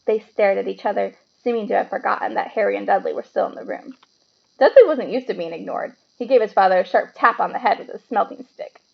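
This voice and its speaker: Woman reading a kids book out loud, expressive, creaky voice, slightly dull home recording. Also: mid-range voice, quick pace. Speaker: storybook reader. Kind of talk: expressive read-aloud narration of a children's novel.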